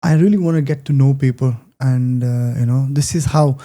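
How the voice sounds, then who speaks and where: A man from India hosting a casual interview, friendly, with many soft fillers and affirmations. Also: medium pitch, moderate pace. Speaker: podcast host. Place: Dubai.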